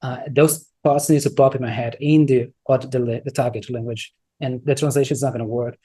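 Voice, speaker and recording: Mellow-voiced man, searching for words. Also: medium pitch, fairly even intonation, brisk, husky. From Brazil. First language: Brazilian Portuguese. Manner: searching for words, many restarts, thoughtful. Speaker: guest, software entrepreneur. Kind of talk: casual interview answers.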